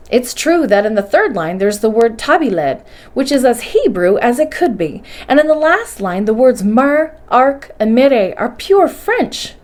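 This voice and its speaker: Woman, slightly high voice, library storytime host, expressive book reading, dramatic emphasis, measured pauses. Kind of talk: expressive bedtime audiobook-style reading of a classic adventure novel.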